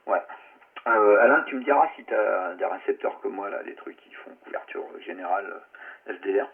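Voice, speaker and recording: Low, creaky man on amateur radio. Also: normal speed. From France. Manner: colloquial, salty, muttering asides. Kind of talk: casual radio chat. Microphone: radio transceiver microphone. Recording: narrowband ham radio, light noise.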